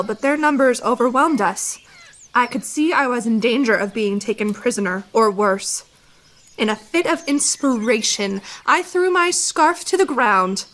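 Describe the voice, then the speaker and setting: A haughty, scheming military officer acted by a woman in a radio play. The voice sits fairly high and speaks in formal, pompous phrases. There is smug self-importance throughout. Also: a bit bright. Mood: boastful. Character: haughty, scheming army commander, vindictive and smug. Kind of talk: radio theatre acting.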